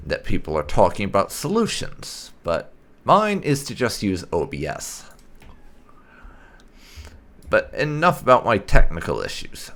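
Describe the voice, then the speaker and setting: A man's voice: vocal fry, fairly bright tone. A man hosting a let's play, recapping the story and chatting in a wry, casual way. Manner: wry, swings between high and low tones. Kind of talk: casual game recap and commentary.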